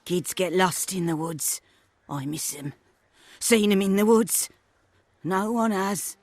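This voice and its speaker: A woman's voice: medium pitch, slow, dry recording. A woman voicing a suspicious old peasant grandmother in a video game, with a quavering voice and scolding, rustic, broken phrasing. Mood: muttering, mournful. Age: older. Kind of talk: video game character acting.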